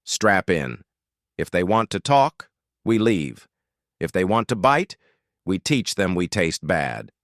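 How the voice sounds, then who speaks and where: A man's voice: sits low, very animated, slow pace, no echo, full character voice. A man narrator voicing a commanding male starship crew leader who gives blunt, dry orders. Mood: grim.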